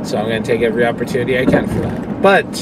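Young man, dashboard phone recording, rambling and candid, clear road noise in the car. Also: mid-range voice, rapid-fire. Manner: rambling, candid, swears freely. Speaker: vlogger and pro wrestler. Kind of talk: casual talk to camera while driving. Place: inside a car, driving. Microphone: phone camera on dashboard. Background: road and engine noise.

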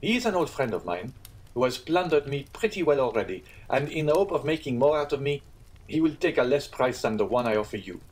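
Man reading a shrewd young gentleman, firm, bargaining, slightly husky voice. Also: medium pitch, sing-song, brisk, pitched above the performer's own voice, rough recording.

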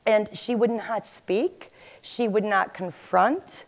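A woman in her forties, an agile coach, giving an animated conference talk in a large hall on a headset mic. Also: mid-range voice, slow pace. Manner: animated, gestures while talking to the audience. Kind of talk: conference presentation.

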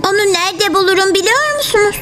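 A woman's voice: extremely high pitch, expressive, quick pace. A woman playing an earnest, caring little mountain girl in a dubbed children's cartoon. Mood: curious, earnest.

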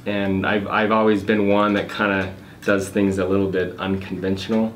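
Deep-voiced man, hesitant and halting. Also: flat delivery. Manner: hesitant, many fillers and restarts. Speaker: guest, real estate investor. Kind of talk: casual interview answers. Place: office meeting room, indoors. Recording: echoey room, faint noise.

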